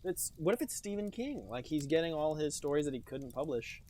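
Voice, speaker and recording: A man's voice: a little high, sing-song, brisk, dark tone. A man co-hosting a comedy podcast, chatting casually and playfully and laughing easily at the material. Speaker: podcast co-host.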